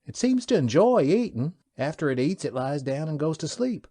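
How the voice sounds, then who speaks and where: Male reader as an earnest, stammering young crewman, lively pitch. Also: moderate pace, breathy edge, no echo. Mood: eager.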